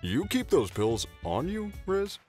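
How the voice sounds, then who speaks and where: A nervous young male bear acted by a man talks slowly, with pitch that swings widely. He is hesitant and easily impressed, in manga dub style. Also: soft background music, heavily acted. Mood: casual, impressed. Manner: hesitant, expressive. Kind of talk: manga fan dub acting.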